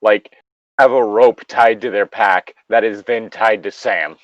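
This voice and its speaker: A man's voice: fairly low pitch, fairly even intonation, unhurried, no room sound, lo-fi sound. A man reading a story aloud casually, breaking off into loose, profane banter.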